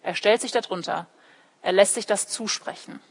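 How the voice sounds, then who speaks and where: A young woman gives a sermon in a space with long reverb. She is a native English speaker who grew up in Germany, with a mid-pitched voice. She explains things directly to her listeners and slips into short imagined quotes. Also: moderate pace, breathy edge. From Germany, born in California and raised in Heidelberg. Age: young adult. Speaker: theology student preaching at church. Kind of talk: sermon with personal illustrations. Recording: echoey hall with long reverb.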